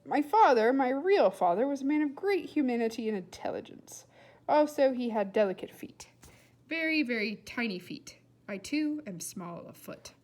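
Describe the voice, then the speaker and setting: A precocious storybook boy, acted out by a woman, speaks in a high register with fussy, exact diction. He is formal and self-important, every phrase weighed like a small lecture. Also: very animated, normal speed, a little mellow. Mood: wounded, haughty. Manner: pompous, wordy, precise diction.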